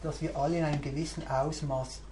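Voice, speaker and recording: Woman teaching mindfulness, thinks out loud, roomy echo, bit of hiss. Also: low-pitched, steady tone, fast. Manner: reflective, searching, thinking aloud. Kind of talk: meditation group talk. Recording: roomy recording, faint background hiss.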